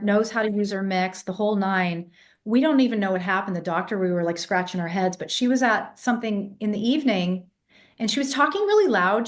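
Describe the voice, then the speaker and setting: A woman raised in England, a vocal coach telling stories in a casual interview, sounding congested. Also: mid-range voice. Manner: animated storyteller, demonstrates vocal sounds. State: congested, voice less clear than usual.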